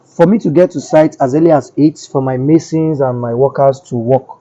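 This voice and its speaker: A man's voice: mid-range voice, brisk, mellow. Nigerian man explaining things frankly. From Lagos, Nigeria. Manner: frank and explanatory, repeats phrases for emphasis. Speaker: interviewee. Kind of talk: casual street interview. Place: outdoors on a street. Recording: dull lo-fi audio.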